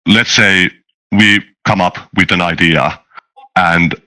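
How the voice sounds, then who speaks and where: A man in a casual discussion, explaining things carefully and haltingly as a developer on a panel. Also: sits low, steady tone, pushed and bright.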